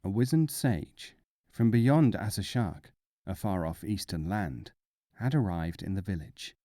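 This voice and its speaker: Mellow, lowish-voiced male storyteller. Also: lively intonation, measured pace, dry recording, studio quality. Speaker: audiobook narrator. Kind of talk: scripted storybook narration.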